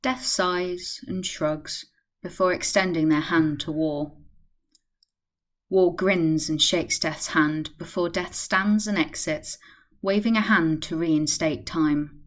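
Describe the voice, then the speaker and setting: Low-voiced woman narrating a radio play. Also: fairly even intonation, slow.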